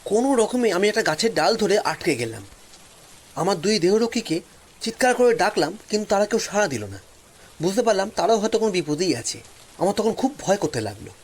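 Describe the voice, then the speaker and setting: Expressive high-pitched male storyteller, noisy recording. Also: fairly quick. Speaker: storyteller, narrator. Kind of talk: dramatic first-person story narration.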